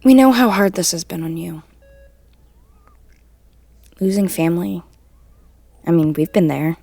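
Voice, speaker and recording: An actress playing a blunt, guarded but caring friend in a deadpan, curt, naturalistic audio drama style. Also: female voice, fairly low pitch, a little slow, gravelly, no echo, full character voice. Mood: subdued, caring.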